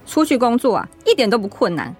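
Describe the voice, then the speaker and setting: A woman playing a resentful, sharp-tongued daughter-in-law in a radio drama, complaining and argumentative. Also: fairly high pitch, big pitch swings, fast, soft background music. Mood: indignant.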